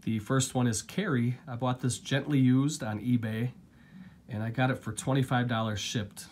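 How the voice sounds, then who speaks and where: A man with a lingering cold, casually chatting through a relaxed, rambling unboxing of his new discs, recorded indoors at home. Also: medium pitch, a little hiss or hum. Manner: relaxed, rambling, filler-heavy. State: getting over a cold, coughing. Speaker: collector vlogger.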